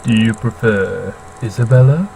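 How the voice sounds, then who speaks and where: A performer voicing a polite, smugly charming vampire teenage boy with slow, drawn-out delivery in a mock dramatic novel reading. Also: mid-range voice, gravelly, warm tone, a little hiss or hum, lightly acted, poor audio. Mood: smooth, teasing.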